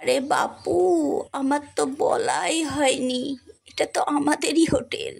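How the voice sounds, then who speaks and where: A woman's voice: high-pitched, sing-song, measured pace, vocal fry, full character voice. A woman voicing a kindly, folksy elderly village great-aunt in a cartoon, affectionate and reassuring. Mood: reassuring, fond. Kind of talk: Bengali moral-story cartoon acting. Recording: music behind the voice.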